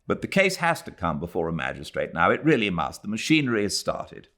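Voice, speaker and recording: A man's voice: lowish voice, huge pitch swings, fairly quick, a bit bright, pitched above the performer's own voice. A man voicing a pompous young English colonial official in a novel, officious, curt and impatient. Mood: firm, curt.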